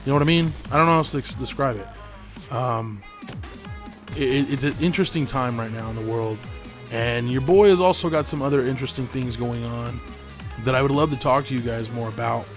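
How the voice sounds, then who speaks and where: A man's voice: mid-range voice, brisk, faint music behind. A man hosting his gaming channel, chatting casually over gameplay. He is tired and losing his voice, and he rambles in a laid-back way and swears freely.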